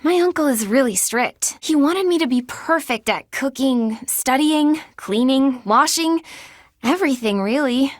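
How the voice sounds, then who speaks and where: An actress playing an earnest, clumsy young woman student in a video game, excitable and easily flustered. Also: sits high, no echo, clean studio sound. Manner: animated, easily flustered, hums silly made-up songs. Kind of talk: video game character dialogue.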